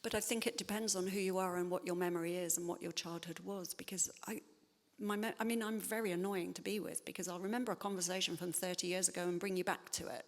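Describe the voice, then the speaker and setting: A woman's voice: fairly low pitch, steady tone, quick pace, long reverb tail. A woman writer answering audience questions in a thoughtful, hesitant way, with many fillers and restarts. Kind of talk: spontaneous public Q&A talk.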